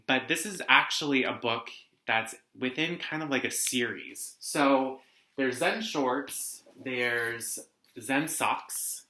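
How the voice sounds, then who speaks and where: A man's voice: mid-range voice, sharp tone. A young man casually presenting and reading a picture book for students at home. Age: young adult. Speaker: read-aloud host, teacher. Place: living room at home. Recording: roomy indoor echo.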